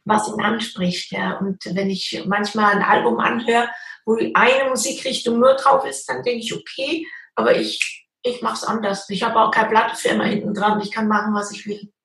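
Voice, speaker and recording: Female guest singer, gravelly and earnest, roomy and slightly noisy recording. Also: medium pitch, normal speed, a bit bright. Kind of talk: casual interview chat.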